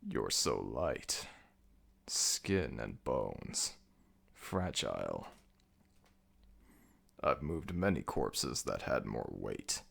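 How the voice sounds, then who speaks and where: A man playing a commanding, brooding ancient jackal god of the dead in an ASMR audio roleplay, with a gravelly, very deep voice and a slow, imperious delivery. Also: very animated, fairly bright tone, heavily acted. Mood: pondering, concerned.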